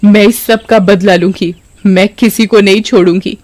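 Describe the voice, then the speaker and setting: A woman's voice: mid-range voice, steady tone, normal speed, darkish tone, no room sound, close to an everyday voice. A woman playing a defiant young village daughter in a cartoon story, with a dramatic, indignant delivery. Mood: vengeful. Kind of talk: Hindi cartoon horror story dialogue.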